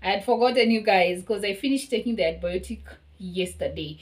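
A young woman in her twenties chatting casually to her viewers in a home vlog, her voice slightly hoarse as she is losing it, with lots of fillers and repeated "you guys". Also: mid-range voice, brisk. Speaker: vlogger. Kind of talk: casual vlog talk to camera. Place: small apartment kitchen and hallway, indoors. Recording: echoey small room with faint music.

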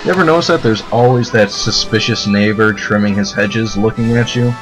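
A young man with a deep voice giving casual, joking let's play commentary, rambling and laughing as he plays. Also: fairly quick, over music, poor audio.

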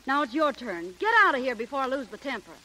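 A woman's voice: high-pitched, expressive, fast, sharp tone, slight background noise, poor audio. An American actress playing a brassy, loyal young showgirl, blunt and wisecracking, in 1930s radio acting style. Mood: indignant. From United States. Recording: 1930s radio recording, faint music.

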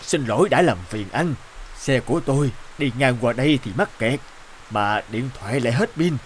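A man's voice: mid-range voice, animated, normal speed, slight background noise, close to an everyday voice. A man voicing an impatient, demanding middle-aged factory boss in a cartoon. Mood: polite, troubled. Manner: demanding, brusque. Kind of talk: animated cartoon dialogue.

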